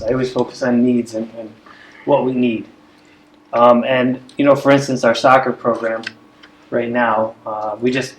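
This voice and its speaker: Earnest man talking in a roomy room. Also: medium pitch. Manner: earnest, repeats words as he builds points. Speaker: school athletics staff member. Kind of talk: speaking up at a committee meeting. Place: meeting room. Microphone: speaking without a microphone. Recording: roomy meeting room, faint background hum.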